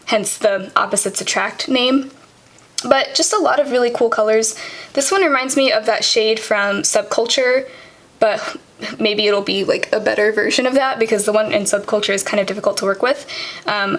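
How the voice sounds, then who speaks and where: A woman's voice: mid-range voice, a bit bright, a little hiss or hum. A young woman talking casually through her makeup, full of ums and likes, her voice tired and cracking at the end of the day. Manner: chatty, many ums and likes. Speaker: beauty vlogger. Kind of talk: casual product walkthrough. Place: home vanity table, indoors.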